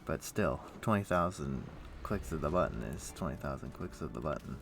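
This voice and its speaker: A man's voice: rumbling low, lively intonation, moderate pace, gravelly, background noise. A man chatting casually as he streams a game, rambling and thinking aloud. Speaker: livestream host. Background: music.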